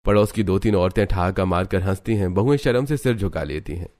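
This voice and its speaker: A man's voice: low-pitched, fairly quick, darkish tone, lightly acted. A man narrating a scripted cartoon family story as the storyteller.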